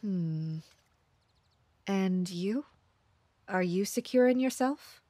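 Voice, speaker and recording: A woman playing a guarded, distrustful commander of mercenaries in an audio drama, measured and introspective. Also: mid-range voice, animated, dark tone, dry recording. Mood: guarded, pensive. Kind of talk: audio drama acting with inner monologue.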